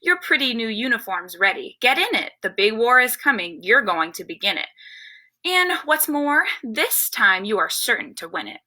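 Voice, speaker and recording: A woman reads as a boastful old male chief in a children's storybook, pitched high and bright. He is excited and commanding, selling his grand war plans with upbeat confidence. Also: moderate pace, heavily acted. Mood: proud, rousing.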